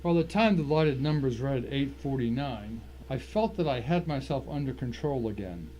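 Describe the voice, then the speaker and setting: A man's voice: medium pitch, animated, normal speed, warm tone, muffled recording, lightly acted. A man playing a tense, determined man who narrates his own ordeal in a dramatic first-person story, with noise behind him. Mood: tense, suspenseful. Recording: clear background noise.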